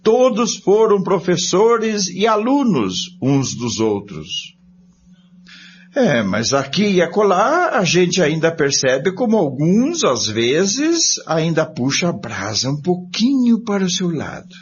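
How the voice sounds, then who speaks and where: A Brazilian man hosts with scripted commentary addressed to listeners. His delivery is very unhurried with wide swings of intonation, and the sound is dull and lo-fi. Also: high-pitched, sing-song. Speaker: host of a spiritist study programme. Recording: dull lo-fi sound with some noise.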